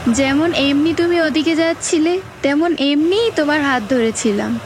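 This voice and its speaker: A woman's voice: sits high, moderate pace, slight background noise, close to an everyday voice. A woman voicing a mysterious, knowing young woman with a caring manner in a cartoon story. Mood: teasing, knowing. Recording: music bed behind voice.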